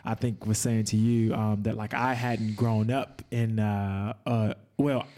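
A man's voice: on the low side, little pitch movement, a little slow, dark tone, a little hiss or hum. A man hosting a podcast interview, chatty, laughing often and encouraging his guest. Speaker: podcast host. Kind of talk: casual interview chat.

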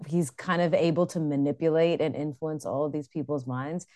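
A woman with an American accent, co-hosting a podcast in casual, animated chat. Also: low-pitched, normal speed. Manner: chatty, animated storytelling with frequent laughs. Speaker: podcast co-host.

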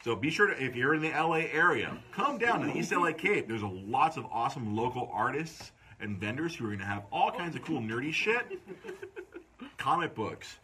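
A man hosting a live podcast stream from a comic store, loud and joking. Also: medium pitch, very animated, a little fast, slight background noise. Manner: loud, joking, plugs events. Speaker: podcast host. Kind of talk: casual live-stream chat.

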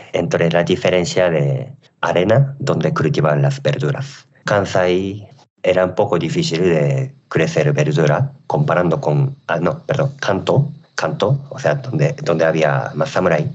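A man who is a chef and a native Japanese speaker, answering interview questions haltingly and often searching for words and correcting himself. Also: very deep voice, darkish tone, muffled recording. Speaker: chef, guest. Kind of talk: interview answers on a radio show.

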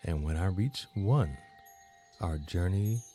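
Male meditation host, low register, unhurried and deliberate delivery with long pauses, music underneath. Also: expressive, dark tone. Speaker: guided meditation podcast host. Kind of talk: guided meditation narration.

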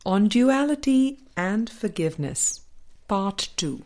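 A woman reading a spiritual book aloud as a scripted audiobook, in a measured, deliberate manner with pauses between phrases. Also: mid-range voice, very animated, darkish tone. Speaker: audiobook reader.

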